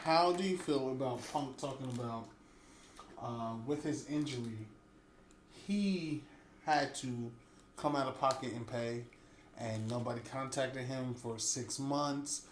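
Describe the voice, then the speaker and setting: A man co-hosting a casual podcast, talking in a relaxed, joking way. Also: mid-range voice, unhurried. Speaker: podcast co-host. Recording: echoey room with faint noise.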